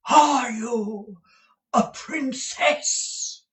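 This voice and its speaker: A man voicing an eccentric, addled old gentleman who speaks with flowery, theatrical gallantry. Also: sits high, lively intonation, slow pace, voice pushed up.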